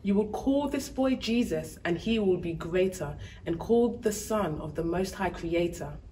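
A woman voicing a calm, reassuring angel messenger in a rhyming story, soothing and matter-of-fact. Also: fairly low pitch, moderate pace, far from the mic, slight background noise, close to an everyday voice.

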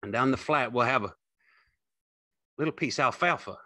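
A man's voice: mid-range voice, normal speed, bright, forceful tone, no room sound, studio quality, voice pushed up. A man playing a weary, protective, tender working man in an audiobook, speaking in a plain, folksy way. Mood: reassuring, tender. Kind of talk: audiobook character acting.

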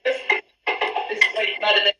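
A man's voice: sits high, sing-song, unhurried, over music. A man, a pasta supplier explaining each cooking step as a guest on a live stream from his kitchen. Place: home kitchen. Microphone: camera a few feet away on a video call. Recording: echoey kitchen sound from the call.

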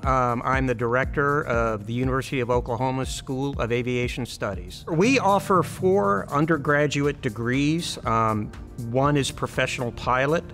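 A man's voice: medium pitch, a bit bright. A man in his fifties, an aviation program director giving a promotional interview. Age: 50s. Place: large aircraft hangar. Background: music.

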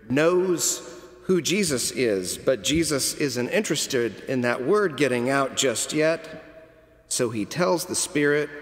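A middle-aged man preaching a sermon from a pulpit, telling the story slowly and deliberately with dramatic pauses. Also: fairly high pitch. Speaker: cathedral dean preaching. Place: large stone cathedral, at a pulpit. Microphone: pulpit microphone. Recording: long cathedral reverb.

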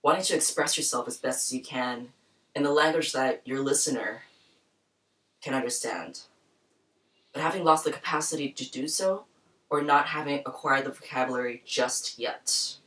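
A young woman hosting a program, speaking in a soft, thoughtful, personal way in a small room. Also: a bass voice, normal speed, recorded from a distance, poor audio. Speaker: program host. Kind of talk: reflective spoken introduction. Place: small bright room with plants, indoors.

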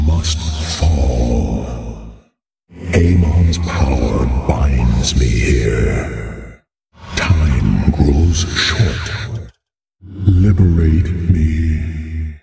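An actor playing an ancient, imprisoned godlike alien shepherd in a video game, gravelly, solemn and commanding, with grand, oracular delivery. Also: male voice, rumbling low, warm tone, exaggerated voice. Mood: urgent, commanding.

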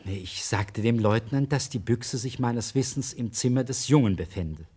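Husky, anxious village schoolteacher played by a man. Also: medium pitch, a little mellow, natural voice. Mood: hesitant, nervous. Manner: halting, nervous, earnest. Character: anxious, hesitant village schoolteacher, a married man under suspicion. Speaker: actor in a radio crime play. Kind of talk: German radio play acting.

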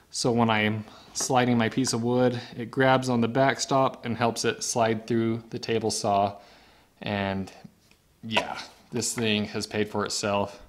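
A man in his thirties hosts a casual instructional vlog, explaining each step conversationally as a woodworker in his garage shop. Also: mid-range voice. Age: 30s. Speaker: woodworker and video host.

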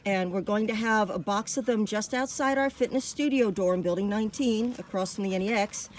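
A woman's voice: medium pitch, fairly quick. A middle-aged American woman giving an informal safety talk to camera outdoors, as a presenter from a fitness department. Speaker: fitness department staffer presenting a dog hiking safety video. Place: outdoors in open desert by trails and a dirt parking lot. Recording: far from the mic, faint outdoor noise.

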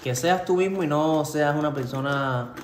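A man's voice: a little high, normal speed. A man in his twenties with a Cuban accent answering questions playfully and informally in a casual cooking vlogger's style. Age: 20s. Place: home kitchen. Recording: echoey home kitchen, faint background noise.

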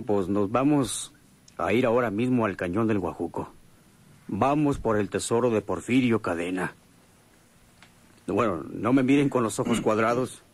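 A man's voice: on the low side, husky, full character voice. A man playing a weary, greedy boss who gives orders in a matter-of-fact way, in a radio drama. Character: weary, brisk boss of lawmen, greedy for treasure.

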